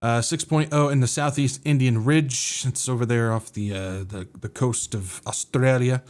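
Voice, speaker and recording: An American man hosting a livestream podcast in a loose, rambling way, full of filler words and quick self-corrections. His voice comes through a little nasal and stuffed up from sinus drainage, sitting at an ordinary middle pitch. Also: steady tone, darkish tone. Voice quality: slightly nasal. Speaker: podcast host. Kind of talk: casual livestream talk.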